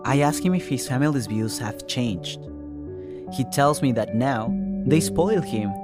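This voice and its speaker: A man narrating a first-person podcast story in a measured way as its reporter. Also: mid-range voice, lively intonation. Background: music score.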